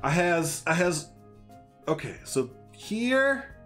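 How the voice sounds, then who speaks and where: A man's voice: on the high side, very animated, takes it slowly, a bit bright, soft background music. A man in his thirties from Northern Illinois with a slight Chicago accent, chatting casually and jokingly with viewers while streaming a building game on a headset at home. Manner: rambling, jokey, chatty with viewers. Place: small room at home.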